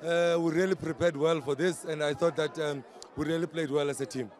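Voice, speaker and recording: A man whose voice is hoarse from shouting on the pitch, speaking after a match outdoors. He sounds proud and measured, his voice a bit high, slipping between English and Zulu. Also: steady tone. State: hoarse, lost voice. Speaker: footballer, defender. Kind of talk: post-match interview.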